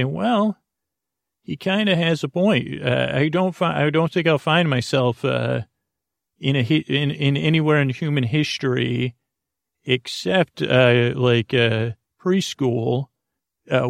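A man hosting a bedtime podcast in a gravelly voice, rambling through an improvised monologue that halts and circles back on itself. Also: medium pitch, no room sound, clean studio sound. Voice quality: gravelly, creaky. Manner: meandering, halting, repeats and circles back on words. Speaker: podcast host.

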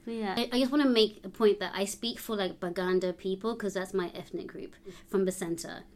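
A woman's voice: medium pitch, fairly even intonation, fairly quick, a little mellow. A young woman from Uganda, chatting casually with many fillers. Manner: chatty, lots of likes and ums. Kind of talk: casual chat.

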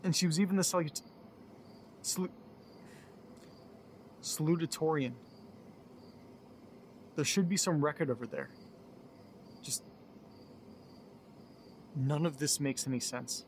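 An actor playing a worried, baffled young man in an audio drama, speaking haltingly as if thinking aloud. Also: fairly high pitch, a little hiss or hum. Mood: troubled, baffled. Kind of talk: audio drama monologue, recorded-diary narration.

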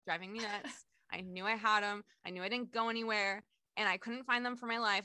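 A woman's voice: medium pitch, dry recording. A young woman co-hosting a podcast, telling stories in casual chat and laughing often. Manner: animated storyteller, laughs often. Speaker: podcast co-host.

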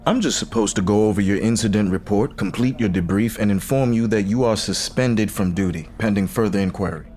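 Male actor for by-the-book officer, audio drama: low voice, dry officialese. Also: a little fast, few pauses, darkish tone, natural voice. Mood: stern, matter-of-fact. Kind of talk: audio fiction acting.

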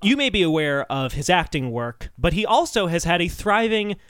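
A man's voice: sits high, lively intonation, normal speed, a bit bright, clear, resonant tone, no room sound, clean studio sound. A man hosting a comedic podcast, chatting casually with a jokey, mock-serious delivery. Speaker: podcast host.

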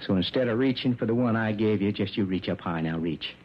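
A man's voice: on the low side, brisk, slight background noise, natural voice. Man acting a desperate, menacing bomber, muffled old radio. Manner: threatening, rough uneducated speech. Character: desperate small-town male bomber villain, menacing and volatile. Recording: dull old radio broadcast.

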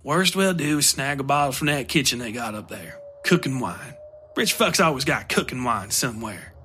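A man's voice: mid-range voice, sing-song, moderate pace, sharp tone, slight background noise. A man voicing an angry, scheming laborer with coarse, spitting, goading talk in an audio story. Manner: coarse, spitting, rural slang. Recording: music under the voice.

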